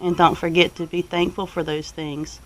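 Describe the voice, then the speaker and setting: A woman's voice: lowish voice, flat delivery, moderate pace, low-quality recording. A woman with a Southern US accent tells a personal story to viewers in a casual, folksy, rambling way, using country turns of phrase. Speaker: homestead vlogger telling a personal story.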